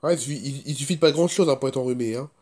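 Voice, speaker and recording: A man's voice: medium pitch, very fast, darkish tone. Congested man vlogging with a cold. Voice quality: stuffy-nosed voice. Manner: rambling, repeats himself, frequent throat clearing. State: congested with a head cold, sore throat. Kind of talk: casual first-person vlog chat.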